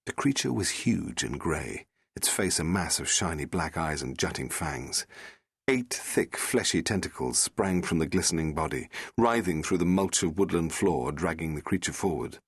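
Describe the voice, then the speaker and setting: Deep-voiced man narrating suspensefully. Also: animated, normal speed, husky, dry recording, studio quality. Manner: suspenseful storytelling. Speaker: audiobook narrator. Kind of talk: dramatic scripted narration.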